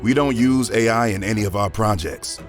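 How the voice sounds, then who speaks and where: A man hosts with scripted credits narration. His voice is low, with a folksy, welcoming delivery. Music plays prominently behind him. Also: moderate pace. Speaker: show creator and host.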